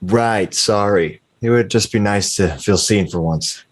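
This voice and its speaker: A dejected young working guy, played by a man with a lowish voice. He grumbles with dry sarcasm, as read from a script at a table read. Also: a little slow. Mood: dejected, sarcastic. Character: dejected, sarcastic young male office worker.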